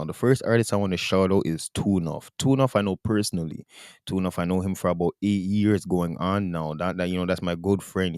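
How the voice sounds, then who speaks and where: A man's voice: deep voice, darkish tone, no echo, clean studio sound. A Canadian man hosting a podcast in a slangy, rambling casual monologue. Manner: slangy, rambling, plugs his business. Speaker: podcast host.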